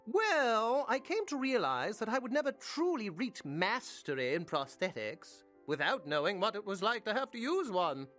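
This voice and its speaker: A fussy, unsettling little gentleman shopkeeper from a video game. His oily politeness swoops up and down in fluttery hesitations, with faint music underneath. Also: high voice, sing-song, moderate pace, few pauses. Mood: eager, creepy. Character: fussy, creepy male prosthetics shop owner, politely obsessive. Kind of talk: video game character acting.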